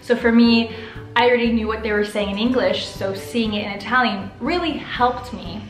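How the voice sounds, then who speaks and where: A young American woman vlogging to camera from her living room, upbeat, expressive and chatty. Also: fairly high pitch, moderate pace, echoey room, soft background music, low-quality recording. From United States. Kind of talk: casual to-camera vlog. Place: living room with sofa, indoors.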